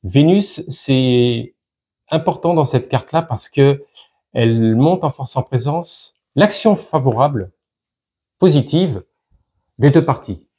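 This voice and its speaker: A man giving an unscripted fortune-telling card reading, explaining to viewers in a hesitant, halting way that often repeats phrases. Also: mid-range voice, expressive, dark tone, breathy edge. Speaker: fortune teller, tarot card reader.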